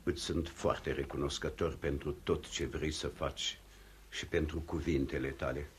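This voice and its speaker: An actor playing a crushed, humiliated and formal middle-aged husband in vintage radio theatre style. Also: male voice, a bass voice, steady tone, slight background noise. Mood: grateful, formal.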